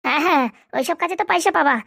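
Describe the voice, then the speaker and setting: Woman playing a fast, nagging cartoon wife. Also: very high-pitched, gravelly, fairly bright tone, no echo, studio quality, full character voice. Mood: scolding. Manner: scolding, insistent. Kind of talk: amateur comedy cartoon dialogue.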